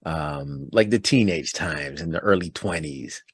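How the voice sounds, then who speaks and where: A man from Toronto hosting a casual interview, upbeat and chatty and quick to laugh. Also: rumbling low, husky, no room sound. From Toronto, Canada. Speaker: podcast host.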